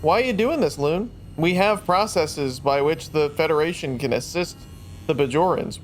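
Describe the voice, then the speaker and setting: A man playing a tabletop roleplaying game, improvising casual dialogue in a blunt, questioning, informal way. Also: moderate pace. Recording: faint rumble and background noise.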